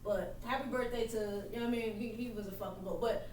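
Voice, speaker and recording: A woman hosting a podcast, chatting in an excited, animated way. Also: fairly high pitch, fast, slight background noise. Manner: excited, animated casual chat with laughs. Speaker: podcast host. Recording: roomy echo from far off, faint ambience.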